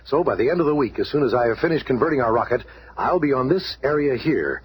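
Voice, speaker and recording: An actor playing an earnest, authoritative scientist who leads superheroes, explaining formally in a 1970s radio drama. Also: male voice, mid-range voice, lively intonation, fast, breathy edge. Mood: grave. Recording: old radio recording, faint noise.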